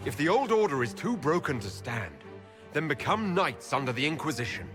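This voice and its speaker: An actor playing a firm, measured leader of an inquisition in a fantasy game. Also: male voice, a little high, sing-song, fairly bright tone, faint music behind. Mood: urgent, commanding. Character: resolute male leader of an inquisition, firm and diplomatic. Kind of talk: dramatic fantasy game acting. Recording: game score behind dialogue.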